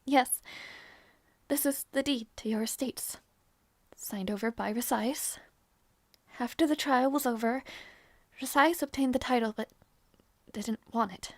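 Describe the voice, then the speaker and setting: A woman playing a gentle, earnest young princess in a romantic fantasy audio roleplay, speaking softly and hesitantly with tender affection. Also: on the high side, dry recording. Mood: earnest, reassuring. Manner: soft, hesitant, tender, halting delivery.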